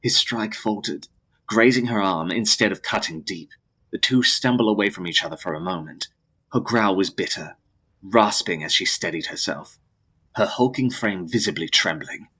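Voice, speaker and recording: A man with a deep, husky voice giving a dramatic scripted narration of a gothic story. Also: moderate pace, sharp tone.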